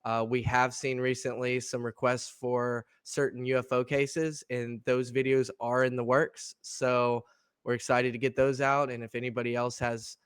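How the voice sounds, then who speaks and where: A young adult man co-hosting a casual podcast, congested from allergies so his voice sounds slightly gravelly and nasal, easygoing with lots of fillers and laughs. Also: mid-range voice, level tone, normal speed, no room sound. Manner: easygoing, laughs often, many fillers and restarts. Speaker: podcast co-host. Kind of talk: casual chat.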